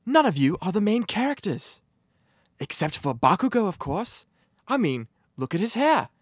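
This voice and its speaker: Deadpan man with a higher voice. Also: normal speed, breathy edge, dry recording, clean studio sound. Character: blunt deadpan male doctor. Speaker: voice actor. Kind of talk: abridged parody voice audition.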